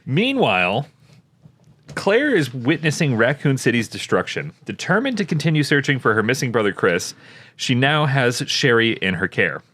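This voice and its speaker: A man's voice: mid-range voice, big pitch swings, moderate pace, fairly bright tone. A man co-hosting a comedy podcast, reading plot summaries and breaking off for crude, joking asides. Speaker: podcast co-host.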